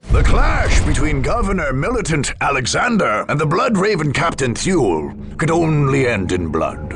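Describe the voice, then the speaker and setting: A man narrates a video game saga in a solemn, formal register. His pitch moves widely and dramatically, with a gravelly edge to the voice. Music plays behind him. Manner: solemn, formal storytelling delivery.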